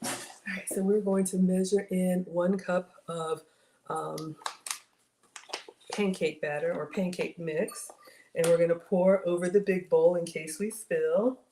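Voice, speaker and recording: A middle-aged woman with a slightly raspy voice, chatting casually to viewers while she cooks in her home kitchen. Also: fairly low pitch, steady tone, unhurried. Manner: chatty, talks to viewers as y'all. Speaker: cooking livestream host. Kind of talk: casual live cooking chat with viewers. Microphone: fixed camera at counter. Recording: echoey kitchen with clear background noise.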